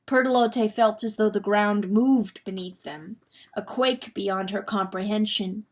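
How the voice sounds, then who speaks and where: A woman's voice: medium pitch, fairly even intonation, measured pace. A woman reading an audiobook as a dramatic scripted narration. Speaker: audiobook reader.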